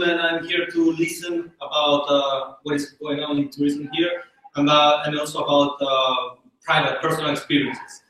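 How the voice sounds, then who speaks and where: A man from Argentina introduces himself, pausing often with uh. His voice sits a little high for a man. He is far from the mic in a very echoey room. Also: a bit bright. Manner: halting, frequent uh fillers. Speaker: entrepreneur. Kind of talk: self-introduction.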